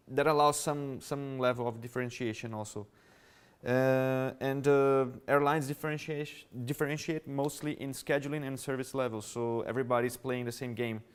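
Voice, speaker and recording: A man's voice: mid-range voice, clear, resonant tone. A man from Brazil, a native Portuguese speaker with a Brazilian Portuguese accent, giving a conversational, explanatory conference presentation to an audience. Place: conference hall.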